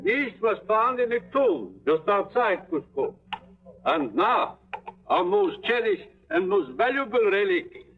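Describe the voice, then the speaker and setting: An actor playing a formal, proud museum curator in a 1950s radio drama. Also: male voice, high voice, big pitch swings, a little slow. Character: polite male museum curator. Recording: tinny old radio broadcast.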